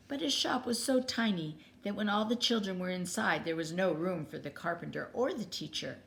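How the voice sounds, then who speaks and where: A woman storyteller reading a children's story aloud expressively. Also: fairly low pitch. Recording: clearly noisy background.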